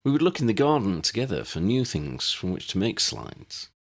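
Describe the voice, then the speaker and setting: A man voicing a courteous older gentleman scholar in a storybook, speaking in a formal, old-fashioned, measured way. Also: on the low side, big pitch swings, pitched above the performer's own voice. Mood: wistful.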